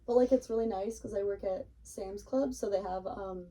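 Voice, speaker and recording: A woman's voice: mid-range voice, moderate pace, dark tone, distant mic, poor audio. A woman chatting casually as a podcast guest, in an informal, hedging way full of filler "like"s. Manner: informal, hedging speech peppered with "like". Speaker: podcast guest, hobby baker and cake decorator. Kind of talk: casual interview chat.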